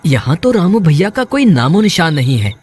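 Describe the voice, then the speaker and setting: A man playing a high-voiced anxious younger brother. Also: big pitch swings, moderate pace, noisy background. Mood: anxious, searching. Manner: earnest, calling out, exclaiming. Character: worried dutiful younger brother from a village. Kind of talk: cartoon horror story acting.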